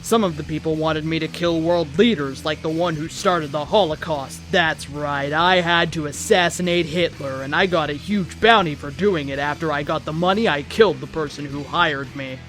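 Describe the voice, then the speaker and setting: Higher-pitched man narrating a story. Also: moderate pace, hardly any pauses, fairly bright tone. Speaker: host reading fan fiction. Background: background music.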